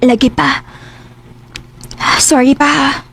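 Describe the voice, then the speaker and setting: A woman's voice: sits high, fairly even intonation, extremely slow, gravelly, a bit bright, exaggerated voice. An actress playing a tender, emotional young country woman in a radio drama, with a Cebuano accent. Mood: sad, apologetic. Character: young rural woman in love, pregnant, abandoned, tender and tearful.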